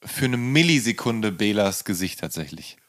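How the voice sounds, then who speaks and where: A male interviewer chatting casually, often halting and correcting himself as he searches for words. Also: fairly low pitch, lively intonation, fairly bright tone, dry recording, studio quality. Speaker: interviewer, podcast host.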